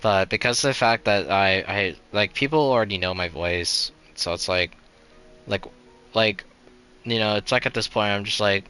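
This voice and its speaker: A young man with a low, bright voice chats casually while live-streaming a game. His sound is crisp and clean. He rambles, restarts his sentences and fills them with "like" and "you know", in a flat, resigned tone. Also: normal speed, soft background music.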